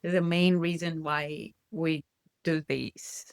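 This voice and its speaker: A woman's voice: low voice, little pitch movement, slow, clean tone, no room sound, clean studio sound. A woman from Colombia with a Colombian Spanish accent, a native Spanish speaker talking reflectively as a guest parent, with many restarts. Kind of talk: casual conversation.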